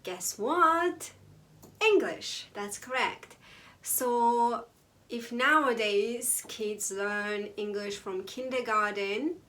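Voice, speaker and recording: A young woman from Azerbaijan, telling her own story to camera in a casual, playful vlog, sometimes breaking into high exclamations or mock whispers. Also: extremely slow, bright, forceful tone. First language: Azeri. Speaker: vlogger.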